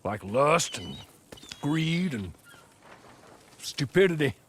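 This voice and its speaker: A man's voice: on the high side, sing-song, slow pace, a little hiss or hum. An actor playing a cynical, laconic frontier man in a western video game, with an American accent and a dry, curt delivery.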